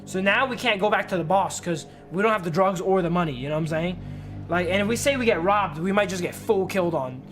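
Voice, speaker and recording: A man gives loose livestream commentary on a game. He is chatty and slangy and swears freely. Music plays clearly behind his voice. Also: high voice, quick pace. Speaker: game streamer. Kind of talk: casual livestream commentary and reading game text aloud.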